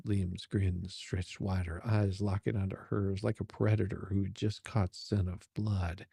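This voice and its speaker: Low, gravelly man narrating steamy romance. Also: level tone, measured pace, mellow, no echo, clean studio sound. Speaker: narrator in a dramatic reading. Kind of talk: dramatic romance audiobook narration.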